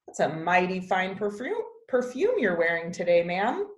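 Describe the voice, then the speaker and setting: A woman voicing a gentle, courtly blind man in a storybook, musing in a folksy, savoring manner. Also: fairly low pitch, big pitch swings, moderate pace, reverberant. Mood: genial, courtly.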